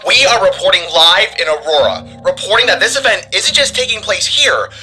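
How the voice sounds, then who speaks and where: A man's voice: high voice, animated, fairly quick, music in the background. An actor playing an urgent male news reporter covering a disaster in an audio story. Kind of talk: breaking news report acting.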